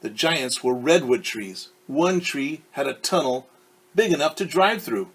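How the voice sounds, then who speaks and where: Man, teacher, picture-book read-aloud, husky, lively pitch, explains words to children. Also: poor audio. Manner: pauses to explain words and quiz young listeners.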